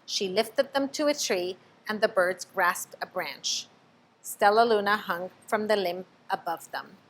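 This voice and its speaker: A woman reading a storybook aloud to children in an expressive, teacherly way, pausing to ask them questions. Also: medium pitch, poor audio. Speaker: storybook reader.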